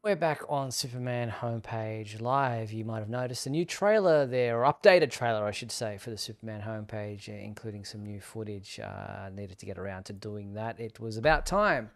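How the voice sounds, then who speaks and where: Male live show host, halting casual delivery. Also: on the low side, creaky.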